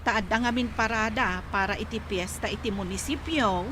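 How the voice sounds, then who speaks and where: A woman acting as a chatty, boastful, preachy village friend in an Ilocano comedy radio drama, animated and teasing, with an Ilocano accent. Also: medium pitch, normal speed, noisy background, lightly acted. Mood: chiding.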